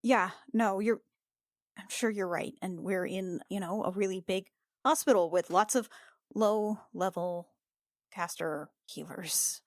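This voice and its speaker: A woman improvising casually as a tabletop game player, halting and often repeating her words. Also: medium pitch, no room sound, clean studio sound.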